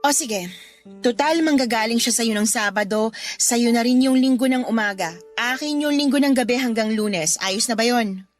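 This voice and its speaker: A woman playing a brisk, exasperated young Filipino mother in a radio romance drama, casual and snappy. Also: fairly high pitch, natural voice. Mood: bossy, bargaining. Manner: casual, pleading, then snappy and bossy. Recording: music under the voice.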